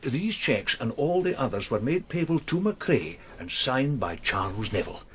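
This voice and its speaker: A man's voice: mid-range voice, very animated, normal speed, a little hiss or hum, lightly acted. An actor playing a meticulous, wordy Scottish man who is helping police in a radio crime drama, speaking a little hesitantly and with some self-satisfaction. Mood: quietly pleased. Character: fussy, self-satisfied male amateur investigator helping police.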